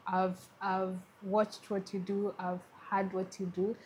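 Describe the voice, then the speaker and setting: A woman with a Kenyan accent speaks as a guest in an interview at a middle pitch. She talks in a friendly way, often restarting her phrases. Faint hiss runs underneath. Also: level tone, takes it slowly, a little mellow, poor audio. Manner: friendly, with frequent restarts and fillers. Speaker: guest, tech community lead. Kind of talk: conversational interview.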